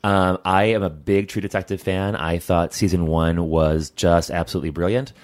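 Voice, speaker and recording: A man's voice: sits low, fairly even intonation, normal speed. A man co-hosting a podcast, chatting casually about shows he recommends, rambling with lots of fillers and restarts. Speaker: podcast co-host.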